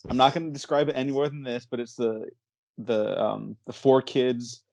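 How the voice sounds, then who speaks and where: A man's voice: medium pitch, fairly even intonation, normal speed, gravelly, warm tone, no echo. A man hosting a casual movie podcast, talking in a rambling, jokey way full of exaggeration. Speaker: podcast host.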